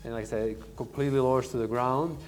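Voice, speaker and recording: Fast-talking man from Serbia. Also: mid-range voice, steady tone. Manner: rambling, many fillers and restarts. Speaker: restaurant owner. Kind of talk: casual interview answers.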